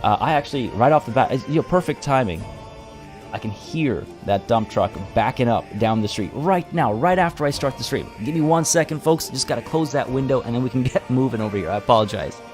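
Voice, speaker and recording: A man, a little hoarse, chatting casually as he streams a strategy game from home and greets viewers by name. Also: medium pitch, expressive, brisk, faint music behind. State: slightly hoarse. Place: home room by a window.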